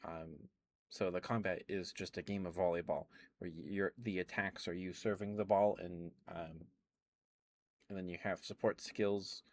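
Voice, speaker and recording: A man softly rambling about video games in a relaxed ASMR stream, with many ums and uhs. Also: low voice. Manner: relaxed, quiet and unhurried.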